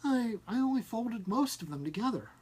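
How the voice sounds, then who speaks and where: Bemused, sheepish husky-voiced man acted by a reader. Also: very high-pitched, sing-song, normal speed, a little mellow, voice pushed up, exaggerated voice. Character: bemused, apologetic male companion in science fiction tale.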